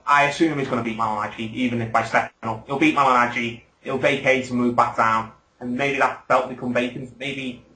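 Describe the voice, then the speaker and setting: A man talks quickly and with opinions in a casual podcast chat, often seeking agreement. His voice is bright and forceful. The room gives it a noticeable echo. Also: medium pitch. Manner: opinionated, rambling, asks for agreement. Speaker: podcast host.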